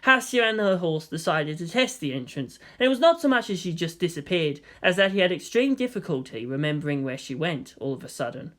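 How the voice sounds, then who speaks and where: Fan fiction audiobook narrator: mid-pitched, scripted, expressive storytelling delivery. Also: brisk, few pauses.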